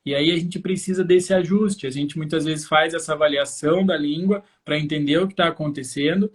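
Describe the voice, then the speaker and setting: A Brazilian man with a slightly high voice hosts a live class for parents. He explains things fluently, step by step, and addresses his viewers directly. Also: steady tone, fairly quick. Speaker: host, infant osteopath. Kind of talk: live educational talk to parents.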